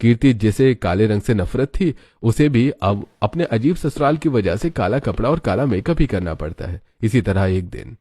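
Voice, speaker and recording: A man's voice: lowish voice, lively intonation, normal speed, hardly any pauses, mellow, breathy edge, no echo. A man narrating a scripted cartoon story. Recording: music behind the voice. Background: background music.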